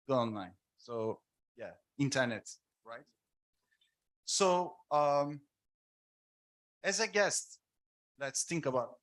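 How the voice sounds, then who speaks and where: A man's voice: mid-range voice, fairly bright tone. A man panelist speaking hesitantly with many fillers, asking the audience questions. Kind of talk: panel talk.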